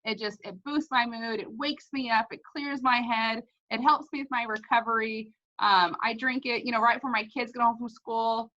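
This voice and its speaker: A woman's voice: on the high side, fairly even intonation, normal speed, hardly any pauses, sharp tone, highs cut off. A woman hosting an informal chat, talking enthusiastically and personally about products she uses. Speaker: host. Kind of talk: casual chat, product testimonial.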